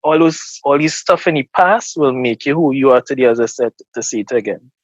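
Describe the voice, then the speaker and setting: A man's voice: medium pitch, brisk, no room sound. Earnest Trinidadian man, dry muffled sound. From Trinidad and Tobago. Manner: repeats words while reaching for thoughts, earnest. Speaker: guest. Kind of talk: casual motivational interview.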